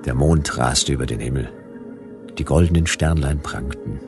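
A man's voice: a bass voice, normal speed, music in the background. A man playing a stubborn hard-boiled detective, narrating his case in a dry, laconic, wry way in a radio play. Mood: sardonic.

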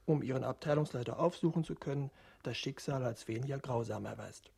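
An actor in an experimental radio play, voicing a dry, formal figure who recites negative outcomes in a husky voice. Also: male voice, mid-range voice, fairly quick, a little mellow. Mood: resigned.

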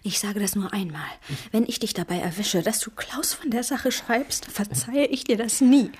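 A woman's voice: fairly high pitch, expressive, moderate pace, a little mellow, breathy edge. An actress playing a flippant, worldly young woman in a German radio drama, theatrical and ironic, hiding hurt behind breeziness. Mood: stern warning.

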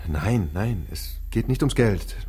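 An actor playing a middle-aged industrialist father in a radio drama, a ruthless visionary who shifts between halting and declamatory talk. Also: lowish voice, animated, music in the background. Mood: halting, insistent.

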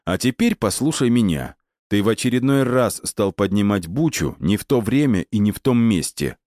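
A man acting out a commanding operative from an audiobook story. His voice is low and dry, and he lectures reproachfully with pointed questions and clipped orders. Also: expressive, normal speed, a little mellow, dry recording, natural voice. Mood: stern, reproachful.

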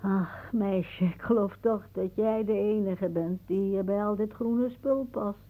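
An actress playing a wry older aunt in a radio play, musing dramatically and haunted by death. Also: female voice, mid-range voice, steady tone, measured pace, warm tone, lightly acted. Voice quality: husky. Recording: muffled, phone-like old radio recording.

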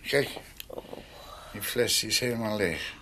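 Radio drama role: ageing doctor, wry and tired, halting delivery, noisy recording. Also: male voice, fairly low pitch, sharp tone. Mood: wry, musing. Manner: halting, repeats himself. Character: world-weary ageing doctor, wry and hesitant. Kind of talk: Dutch radio drama acting.

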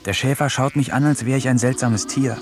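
An actor narrating a radio play as a poet, reading diary-like entries in a wry, introspective way. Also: male voice, medium pitch, a little fast, slight background noise, close to an everyday voice. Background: music.

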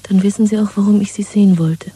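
A woman's voice: mid-range voice, fairly even intonation, quick pace, warm tone, slightly rough, no echo. An actress in a German radio drama playing a bold, secretive young woman in love, half-whispering in a cool, intimate, knowing way. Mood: cool, teasing.

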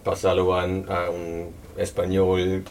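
A non-native Spanish speaker presenting their book at a public talk, speaking hesitantly and thoughtfully with many pauses and fillers. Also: low-pitched, completely flat delivery, a little mellow, recorded from a distance, a little hiss or hum. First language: not Spanish. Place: local venue at a public presentation.

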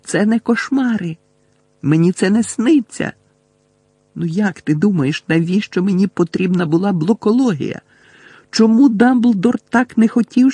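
Guy doing a furious teen boy wizard, desperate n defiant, shouty, rough creaky voice, audiobook. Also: on the high side, dry recording. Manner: shouting, snappish.

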